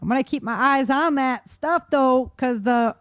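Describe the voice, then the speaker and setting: A woman's voice: sits high, moderate pace, no echo, studio quality. A woman co-hosting a talk show, giving informal news commentary in an opinionated, emphatic, conversational way.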